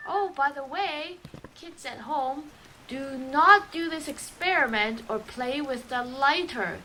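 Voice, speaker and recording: High voiced lady cohosting a kids show, expressive, some background noise. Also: slow pace. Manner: expressive, addressing young children. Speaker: co-host of children's show. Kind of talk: scripted children's show presenting.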